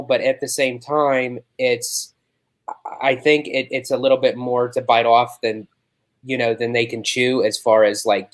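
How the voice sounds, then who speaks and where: A man chatting casually as a panelist, giving his opinion in loose, meandering phrases. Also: mid-range voice. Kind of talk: casual chat.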